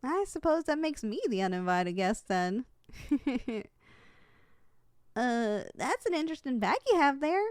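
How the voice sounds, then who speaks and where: Kind, folksy giantess acted by a woman, high and unhurried, soothing ASMR roleplay delivery. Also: animated, clean tone, dry recording, studio quality. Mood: curious, reassuring. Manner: soothing, folksy, gently reassuring. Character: kind, gentle young giantess homeowner comforting a tiny person.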